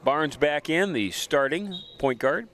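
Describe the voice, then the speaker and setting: A man giving brisk, informative play-by-play commentary on a college basketball game. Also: on the high side, animated, fairly bright tone, slight background noise. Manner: brisk, informative, names players quickly. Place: college gym broadcast booth.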